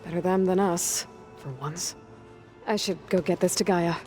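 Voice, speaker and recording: A woman's voice: lowish voice, moderate pace, warm tone, lightly acted. A woman playing a resolute young hunter and leader in a video game, plain-spoken and dryly wry. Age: young adult. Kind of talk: video game cutscene acting. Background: faint music.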